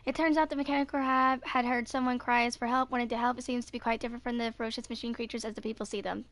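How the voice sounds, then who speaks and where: A woman in her twenties reading video game quest text aloud in a hurried, stumbling way. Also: fairly high pitch, little pitch movement, fairly bright tone, no echo. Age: 20s. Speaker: gameplay video creator. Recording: clear background noise with clicks.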